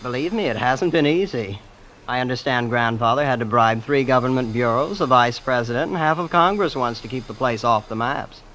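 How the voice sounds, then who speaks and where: An actor playing a breezy, immensely rich young heir who is casually ruthless, boasting in a nonchalant, understated way in an old-time radio drama. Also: male voice, mid-range voice, brisk, a bit bright, close to an everyday voice. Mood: smugly casual. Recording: old radio broadcast with clear noise.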